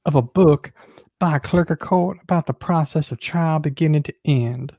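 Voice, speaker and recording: A man's voice: on the high side, normal speed, vocal fry, a little mellow, no echo, studio quality. A man on a casual live show, reading documents aloud as a panellist.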